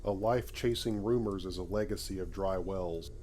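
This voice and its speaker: A man's voice: deep voice, moderate pace, darkish tone, natural voice. A man voicing a courteous, wry space adventurer for a game playthrough, with formal, articulate phrasing. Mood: curious, dry. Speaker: gamer voicing his own player character. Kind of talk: amateur voice-over for game playthrough. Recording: home voice-over with clear background noise.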